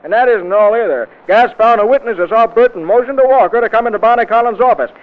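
An actor playing a brisk, slangy newsman phoning in a scoop in a mid-century radio drama, clipped and urgent. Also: very high voice. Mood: eager, hurried. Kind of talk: 1940s-50s radio drama acting. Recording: tinny, band-limited vintage radio recording.

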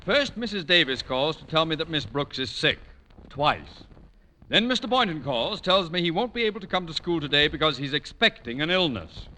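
An actor playing a pompous, stern middle-aged school principal in a 1940s radio comedy, commanding and clipped. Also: male voice, on the high side, expressive, moderate pace, lightly acted. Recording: noisy old radio recording with clicks.

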